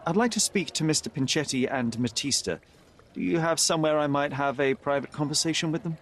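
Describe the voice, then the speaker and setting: A man's voice: on the high side, a little fast, a little hiss or hum, close to an everyday voice. An actor playing a young male detective, speaking in a precise, composed and formal manner. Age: young adult.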